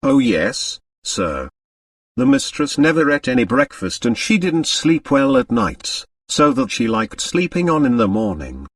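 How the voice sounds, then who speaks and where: Audiobook role: discreet, nervous female servant played by a male narrator, respectful and chatty, with a very mobile pitch. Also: huge pitch swings, unhurried, dry recording, studio quality, far from the mic, exaggerated voice. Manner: deferential, chatty, easily flustered. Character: nervous, discreet English lady's maid, respectful woman servant.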